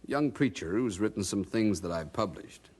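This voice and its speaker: An actor playing a genial, skeptical colonial printer in an audio drama, speaking in a wry, amused, conversational way. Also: male voice, lowish voice, animated, moderate pace, a little mellow, husky. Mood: wry, affable.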